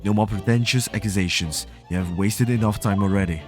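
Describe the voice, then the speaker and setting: A man voicing a haughty male courtroom prosecutor, reading the lines scornfully in a low voice, with music behind. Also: brisk, darkish tone, lightly acted.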